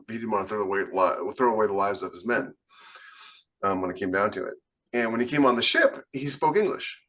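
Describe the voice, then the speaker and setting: A man's voice: fairly low pitch, a bit bright. A man talking casually as a novelist on a podcast panel, in an informal discussion.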